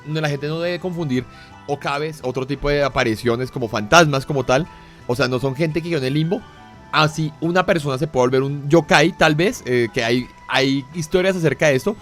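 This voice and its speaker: A man's voice: on the high side, fairly quick, a bit bright, soft background music. Man hosting, clarifying at length. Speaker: host. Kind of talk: talk show hosting.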